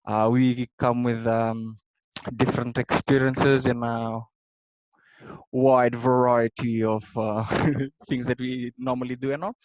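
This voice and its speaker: A man's voice: medium pitch, little pitch movement, slow pace, no room sound, low-quality recording. A man informally welcoming people as host of an online discussion, searching for his words. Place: online video meeting.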